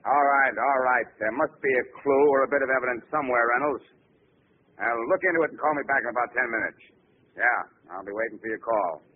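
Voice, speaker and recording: Actor as a gruff commissioner, tinny vintage radio. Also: male voice, mid-range voice, fairly quick, natural voice. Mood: impatient. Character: flustered but gruff male police commissioner. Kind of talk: 1940s radio acting. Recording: noisy, tinny old radio broadcast recording.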